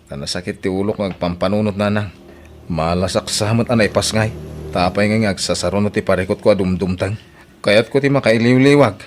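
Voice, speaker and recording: A man's voice: lowish voice, brisk, slight background noise, close to an everyday voice. An actor playing a troubled, self-pitying, hard-drinking husband in an emotional audio drama, complaining about his life. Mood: defensive, weary. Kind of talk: Ilocano radio-style drama acting.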